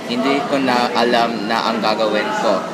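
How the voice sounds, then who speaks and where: A man's voice: medium pitch, steady tone, moderate pace, a loud crowd, lightly acted. A man playing an overwhelmed student in a scripted school radio drama. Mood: weary, defeated. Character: overwhelmed male student close to giving up. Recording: very noisy amateur recording.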